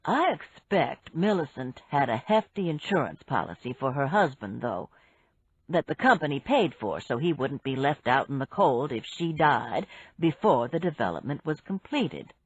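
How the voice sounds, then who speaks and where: A woman voicing a calm, knowledgeable businessman who explains patiently and matter-of-factly in an audiobook story. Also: sits low, husky, dry recording, lo-fi sound, voice pushed down, exaggerated voice. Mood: patient, assured. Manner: measured, matter-of-fact explaining.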